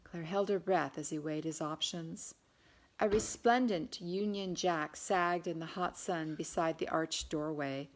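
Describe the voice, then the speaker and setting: A woman's voice: lowish voice, unhurried. A woman reading historical fiction aloud as an author, in scripted audiobook narration. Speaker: author reading their own novel.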